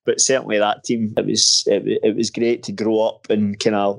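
A Scottish man in his thirties answers interview questions about football with a fairly level, monotone delivery. He hesitates often and restarts his sentences, filling the gaps with "you know" and "uh". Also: lowish voice, moderate pace, vocal fry. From Scotland. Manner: hesitant, many restarts and fillers. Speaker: football coach.

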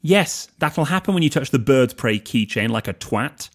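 A man's voice: medium pitch, animated, moderate pace, voice pushed up. A man voicing a blunt young wizard who talks curtly.

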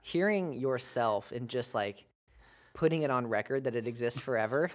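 A man's voice: mid-range voice, expressive, normal speed. Chatty American man co-hosting. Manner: laughs often, self-deprecating, many fillers. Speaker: podcast co-host. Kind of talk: casual chat.

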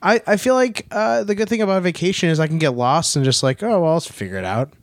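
A man co-hosting a casual podcast on a cruise, talking in a relaxed, hesitant, self-deprecating way. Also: a little high, fast talker, no echo, studio quality. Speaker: podcast co-host. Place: aboard a cruise ship.

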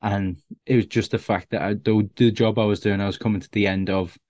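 A man in his thirties from Liverpool, a stand-up comedian with a softened Scouse accent, chatting in an interview in a self-deprecating, rambling way with lots of restarts. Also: on the low side, fairly even intonation, brisk, dry recording. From Liverpool, England. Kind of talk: casual interview chat.

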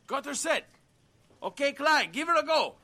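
A man's voice: very high-pitched, very animated, moderate pace, sharp tone, heavily acted. A man playing a jumpy but brave, wisecracking young survivor in a zombie audio drama. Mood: frantic, wry.

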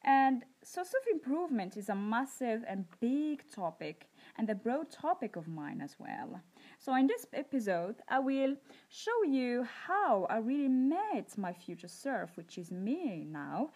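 A woman's voice: on the high side, very animated, measured pace, a little mellow. A woman with a Moroccan accent hosting a self-improvement podcast, telling her personal story in an earnest, emphatic way.

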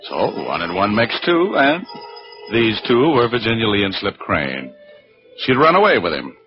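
A hard-boiled American private eye, wry and animated, in mid-range pitch. It is 1940s radio acting, muffled, with music behind the voice. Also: male voice, normal speed. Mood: wry narration. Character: wisecracking hard-boiled male private detective, narrating his case. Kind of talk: 1940s radio acting, first-person narration.

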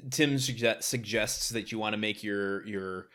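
A man's voice: lowish voice, measured pace, a bit bright. A man co-hosting a podcast in casual chat, laughing often and trailing into fillers. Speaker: podcast co-host.

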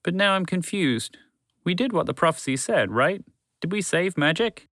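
A man voicing a kind, gentle and clumsy male moose who speaks mildly. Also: high-pitched, expressive, moderate pace, no room sound, clean studio sound, voice pushed up. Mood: confused.

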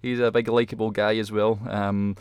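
A man's voice: lowish voice, flat delivery, normal speed, darkish tone, smooth, dry recording. A man hosting a casual interview, agreeable and often repeating words as he forms his questions. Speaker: interviewer, host.

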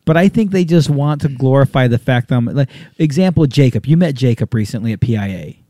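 A man's voice: mid-range voice, animated, quick pace, dark tone, no room sound. A man with a Southern American accent, co-hosting a casual chat with loose, joking banter.

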